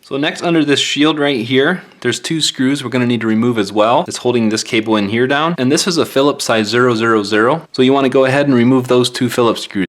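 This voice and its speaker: A man's voice: medium pitch, fast talker, hardly any pauses. A man narrating a step-by-step repair tutorial in an informal, plain-spoken, explanatory way. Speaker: phone repair tutorial presenter. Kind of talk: hands-on step-by-step instructional narration.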